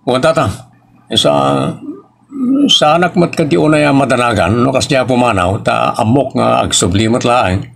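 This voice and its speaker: A man's voice: mid-range voice, moderate pace, vocal fry, fairly bright tone. A man playing an exasperated, argumentative husband in a family audio drama. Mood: defensive. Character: exasperated husband arguing with his wife and father. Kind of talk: Ilocano family radio-style drama acting.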